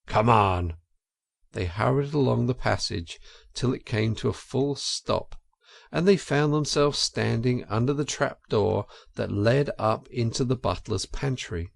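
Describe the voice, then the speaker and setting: A man narrating an audiobook story in a measured, expressive and dramatic storytelling style. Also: medium pitch, gravelly, no echo, studio quality.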